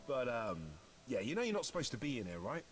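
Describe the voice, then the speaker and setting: A man playing a gentle, easygoing Minotaur guardian in a video game, laid-back and slightly hesitant. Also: medium pitch, sing-song, quick pace, soft background music, close to an everyday voice. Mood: soothing.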